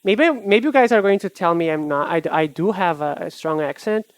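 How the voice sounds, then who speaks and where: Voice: mid-range voice, animated, brisk, no echo. A streamer from Brazil who speaks with a Brazilian accent and has Portuguese as a first language. They chat casually with a live chat, musing and hesitant, often restarting their sentences. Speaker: art streamer.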